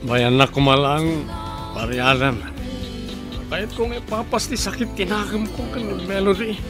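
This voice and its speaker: Man acting heartbroken husband, very high-pitched male voice. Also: steady tone, normal speed, over music, close to an everyday voice. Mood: anguished. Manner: anguished, pleading melodrama. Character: heartbroken, desperate husband and father James. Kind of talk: radio drama acting.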